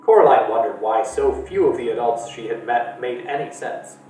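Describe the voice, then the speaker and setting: A man reading a novel aloud to children at a library storytime, in a steady storytelling way. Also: moderate pace, far from the mic. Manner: steady storytelling with lively pitch. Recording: echoey room from afar with faint music.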